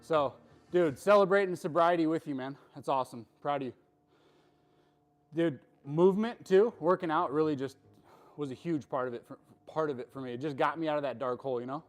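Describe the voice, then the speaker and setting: High-voiced hyped-up male fitness coach. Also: fairly quick. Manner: hyped, joking, bro-style coaching talk. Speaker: fitness coach and live-stream host. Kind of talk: live workout stream, chatting with viewers between sets.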